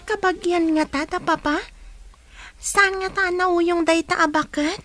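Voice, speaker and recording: A woman's voice: very high-pitched, steady tone, a little slow, a bit bright, faint music behind. A woman playing an eager young child, a younger sibling, in a radio drama. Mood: curious, wary.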